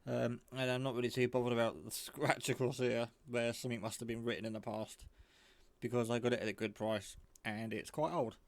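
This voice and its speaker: A man's voice: mid-range voice, level tone, fairly quick, gravelly, no room sound. A man giving a casual, rambling, informal show-and-tell of a piece of hobby gear. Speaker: radio hobbyist showing a handset.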